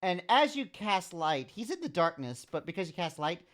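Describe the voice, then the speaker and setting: Low-voiced woman game master. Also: big pitch swings. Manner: measured, drawn-out narration. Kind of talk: narrating a tabletop game.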